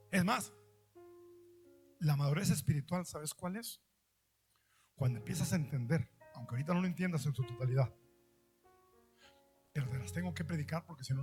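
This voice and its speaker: A man preaching with a high, ringing voice, pressing his points by repeating them and asking his audience questions. He swings from loud emphatic lines to slow, drawn-out quiet words. Faint instrumental music plays softly behind him. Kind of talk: sermon.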